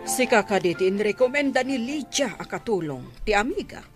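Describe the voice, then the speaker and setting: A woman's voice: mid-range voice, animated, normal speed, soft background music, lightly acted. A middle-aged woman playing a haughty, bossy rich mistress in an Ilocano-accented radio drama, with an imperious manner.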